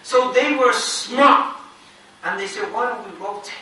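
A man in his thirties giving an animated Islamic lecture to a seated audience, telling stories with loud, emphatic peaks and Arabic phrases mixed in, on a lapel mic. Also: high-pitched, a little slow, far from the mic, slight background noise. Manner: mixes in Arabic quotations and phrases. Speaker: Islamic preacher. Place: large indoor hall with a seated crowd. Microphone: clip-on lapel mic. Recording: very echoey hall, voice from afar.

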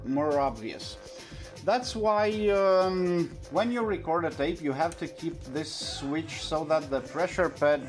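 A man in his thirties who is not a native English speaker, explaining things hands-on as a video host, in a halting, hesitant way with frequent pauses. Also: a little high. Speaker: host of vintage audio videos. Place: small indoor room at home.

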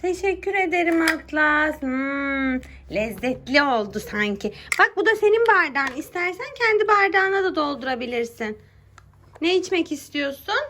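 Mother at play with a small child: woman, very high bright voice, coaxing, casual, slightly noisy home recording. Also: lively intonation, moderate pace. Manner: coaxing, encouraging, asking simple questions. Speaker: mother playing pretend with her young son.

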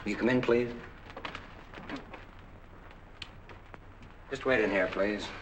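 A man's voice: lowish voice, fast talker, lightly acted. A man acting a jovial young clinic worker in a 1940s movie. He banters playfully, and the old soundtrack is noisy. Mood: polite.

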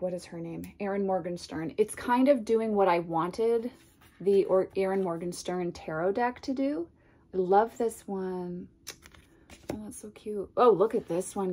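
Congested woman gushing over oracle cards. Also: medium pitch. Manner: gushing and chatty, drifting into asides and soft whispers. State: congested from a cold. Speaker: tarot and oracle deck reviewer. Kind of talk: casual unboxing chat to camera. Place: home room lined with bookshelves, indoors. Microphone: camera in front at desk distance.

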